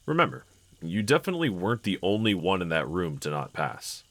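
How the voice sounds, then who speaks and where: Guy voicing a calm caring husband in roleplay audio, low voice, super dry close sound, reassuring and considerate. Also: fairly quick, no echo, exaggerated voice. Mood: encouraging, supportive. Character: gentle, encouraging adult husband, calm and caring. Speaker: character audio voice actor. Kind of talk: intimate scripted roleplay audio.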